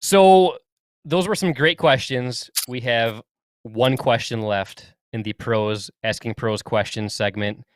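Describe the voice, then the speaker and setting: A man co-hosting a podcast in casual chat, chatty and quick to laugh. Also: medium pitch, clean tone, no echo, clean studio sound. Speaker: podcast co-host.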